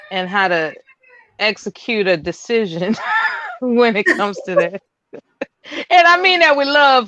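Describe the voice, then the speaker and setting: A woman's voice: mid-range voice, fairly bright tone, no room sound. Woman, panel host, frank advice-giver, dry and dull lo-fi call audio. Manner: frank, advising, laughs easily. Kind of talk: casual online panel chat.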